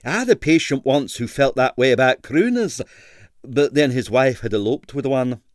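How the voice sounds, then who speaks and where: A man's voice: mid-range voice, expressive, normal speed, dry recording, clean studio sound, natural voice. A man voicing a sour, disdainful doctor who loathes bad ballet, emphatic and blandly scathing, for an audiobook. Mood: opinionated. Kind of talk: audiobook character voice.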